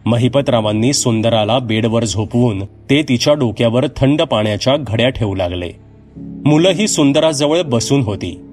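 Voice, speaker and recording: A male storyteller reads a scripted horror tale in a mid-pitched voice. Soft background music runs under his narration. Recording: music behind the voice.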